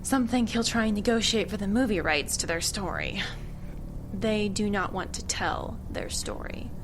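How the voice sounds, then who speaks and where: A shrewd female government agent in an audio drama, played by an actress, controlled and faintly sardonic. Her voice sits at an average pitch for a woman, with clear ambient noise around her. She comes across as cool and evasive. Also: expressive, normal speed, close to an everyday voice. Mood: matter-of-fact, persuasive.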